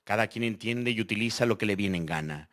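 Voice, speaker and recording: A man plays a philosophical thinker with a lowish voice. He speaks reflectively in aphorisms, as one voice in a scripted audio dialogue. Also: fairly quick, fairly bright tone, close to an everyday voice.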